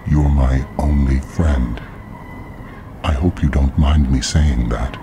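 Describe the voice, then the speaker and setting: A man in an audio drama playing a hushed, manipulative inner voice that coaxes and commands deliberately. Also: very deep voice, fairly even intonation, noisy background, full character voice. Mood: confiding. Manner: deliberate, intimate, urging.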